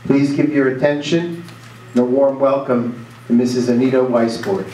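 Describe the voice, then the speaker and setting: A man formally introducing a guest speaker at a school program, measured and even. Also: medium pitch. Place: school auditorium. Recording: echoey hall, distant mic, faint noise.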